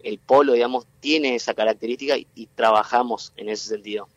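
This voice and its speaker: A man from Entre Ríos in Argentina with a local accent, a guest explaining at length in an interview, halting and full of fillers and restarts. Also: medium pitch, steady tone, dry recording, muffled recording. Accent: Entre Ríos Argentine Spanish. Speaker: guest, tech cluster president.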